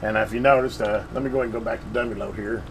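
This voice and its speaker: A man's voice: lowish voice, fairly even intonation, racing, slight background noise. A man, chatting casually while he reviews a radio at his home ham station. He rambles in an easygoing way, with lots of "uh" fillers. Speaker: radio repair video host. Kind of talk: casual hands-on review chat.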